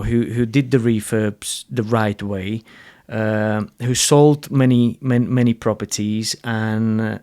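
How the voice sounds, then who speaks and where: A man's voice: fairly low pitch, a little mellow. Slovak-accented man hosting, blunt and earnest. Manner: blunt and earnest, frequent restarts, salty language. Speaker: podcast host. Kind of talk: casual interview conversation.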